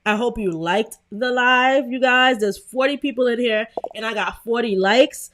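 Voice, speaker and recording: A woman's voice: on the high side, normal speed, fairly bright tone. A young woman with a Brooklyn accent hosting a casual live design tutorial, chatty and full of fillers as she greets viewers. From Brooklyn, New York. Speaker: livestream host.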